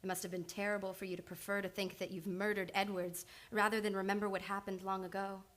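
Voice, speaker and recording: A woman's voice: medium pitch, flat delivery, fast, fairly bright tone, close to an everyday voice. A woman playing an earnest, insistent psychoanalyst in old time radio drama, urgent and probing.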